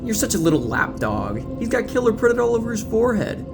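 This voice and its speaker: A man voicing an excitable teenage know-it-all movie geek and video clerk, lecturing emphatically in an audio drama. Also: high-pitched, fairly quick, gravelly, music in the background, pitched above the performer's own voice, full character voice. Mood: scornful.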